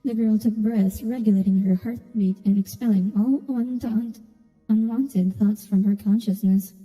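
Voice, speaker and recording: A woman's voice: medium pitch, steady tone, unhurried, warm tone, husky. A woman streaming a video game, mixing casual commentary with reading the story text aloud. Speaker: gaming streamer playing through a story quest. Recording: reverberant sound with music behind. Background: game music.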